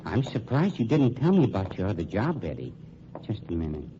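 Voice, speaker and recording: An actor playing a coolly menacing funeral home owner with silky, formal politeness in an old-time radio crime drama. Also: male voice, fairly low pitch, a little fast. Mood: smooth, mocking. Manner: formal, silkily polite, deadpan. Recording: muffled, noisy old radio recording.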